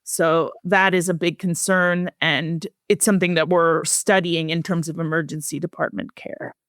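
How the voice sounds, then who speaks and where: A woman speaking as an expert guest in an interview, explaining research findings in a measured, serious, explanatory way. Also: lowish voice, little pitch movement, a bit bright, no room sound, studio quality.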